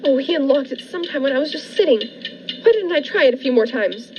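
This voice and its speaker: Woman acting high-pitched frightened woman, unhinged and secretly cunning, 1940s radio thriller, muffled sound over music. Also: lively intonation, a little fast, background noise. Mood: anxious, bewildered. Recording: muffled lo-fi recording with music behind.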